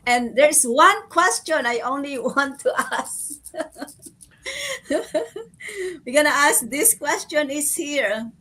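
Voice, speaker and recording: A woman's voice: high voice, slow, pauses often, sharp tone. A woman hosting a casual livestream chat on a video call, laughing often and restarting her sentences. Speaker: livestream host.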